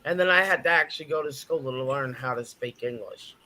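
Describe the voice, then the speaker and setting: A woman's voice: rumbling low, fairly quick, pushed and bright, slight background noise, rough recording. A woman in her fifties, a native Japanese speaker, telling stories in a casual chat. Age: 50s. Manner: storytelling, with a chatty pace.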